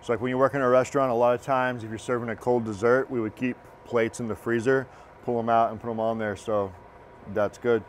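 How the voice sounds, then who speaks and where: Man, thirties, easygoing cooking teacher, mid-pitched voice, teaching kitchen, light background noise. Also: a little fast. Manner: relaxed, encouraging, talks while working. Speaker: culinary instructor. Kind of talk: cooking demonstration. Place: large teaching kitchen, indoors.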